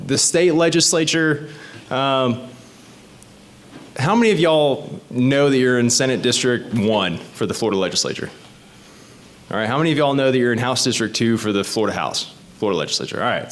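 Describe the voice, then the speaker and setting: A man, a state legislative candidate giving an informal, explanatory talk to a community group and asking the audience questions. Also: medium pitch. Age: 30s. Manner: conversational and explanatory, often asks the audience questions.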